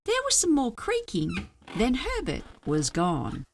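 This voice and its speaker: A woman narrating a children's story aloud in a dramatic, expressive way. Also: a little high, a little slow, a little hiss or hum. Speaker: storybook reader.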